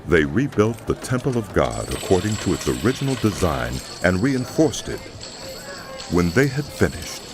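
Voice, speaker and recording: A man narrating a dramatized audio Bible in a solemn, measured storytelling style. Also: low-pitched, background noise. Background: background music.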